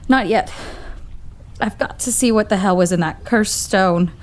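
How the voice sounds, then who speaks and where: A woman's voice: mid-range voice, a little slow, lightly acted. A woman acting a distraught but determined young woman in an audio drama. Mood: resolute.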